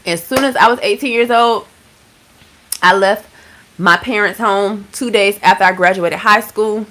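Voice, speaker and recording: Bright-sounding woman, 30s, from georgia, laid-back livestream chat, cussing and shouting out viewers. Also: mid-range voice, unhurried, a little hiss or hum, poor audio. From Georgia, USA. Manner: chatty, laid-back, swears casually, gives shout-outs to viewers. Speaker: livestream host.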